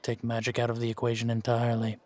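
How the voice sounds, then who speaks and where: A man playing a world-weary, laconic monster-slaying mercenary in a fantasy roleplay audio drama, speaking in a measured, musing way with pauses. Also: medium pitch, monotone, darkish tone, close to an everyday voice. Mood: wary, dry. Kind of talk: fan-made roleplay audio drama, unhurried monologue to a companion.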